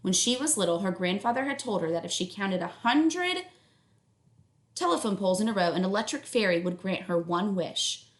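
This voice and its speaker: A woman reading a story aloud like a teacher, pausing to explain and check understanding with young listeners. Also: mid-range voice, sing-song. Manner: instructional, checks understanding, affirms listeners' answers. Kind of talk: read-aloud narration with questions to young listeners. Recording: echoey room sound.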